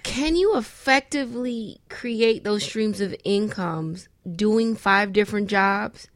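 A woman's voice: mid-range voice, takes it slowly, no room sound. A woman co-hosting casual radio chat, questioning points in a roundabout, conversational way. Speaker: radio show co-host.

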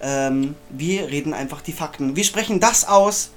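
Jokey podcast host. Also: male voice, a little high, moderate pace, soft background music. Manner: jokey, rambling, bursts into loud exclamations. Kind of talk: casual comedic chat.

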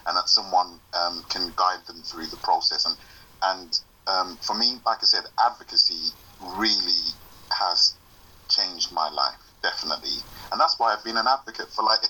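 Low-voiced earnest man, far from mic. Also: pushed and bright, breathy edge. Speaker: advocate. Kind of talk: casual interview answers.

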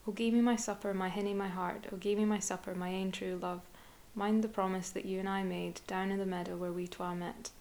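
Woman voicing a croaking frog suitor. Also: mid-range voice, level tone, a little fast, few pauses, darkish tone, natural voice. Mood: pleading chant. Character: croaking yellow frog suitor, male, insistent.

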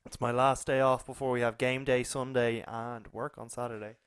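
Irish man, mid-pitched, laid-back co-host who chips in and teases. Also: level tone, normal speed, clean tone. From Ireland. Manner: short interjections and teasing. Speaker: podcast co-host. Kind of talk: casual chat.

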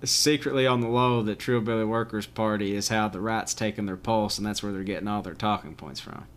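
A man co-hosting a podcast, joking in a wry, deadpan way. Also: lowish voice, brisk. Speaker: podcast co-host. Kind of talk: casual chat.

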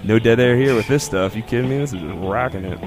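A man's voice: medium pitch, quick pace, over music. Upbeat male radio co-host. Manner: upbeat, playful. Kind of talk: casual radio chat.

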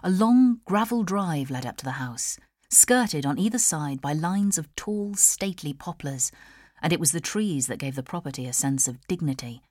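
A woman's voice: deep voice, expressive, normal speed, a little mellow, no echo, studio quality. A woman with a British accent reading a novel as a scripted audiobook narration. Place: studio.

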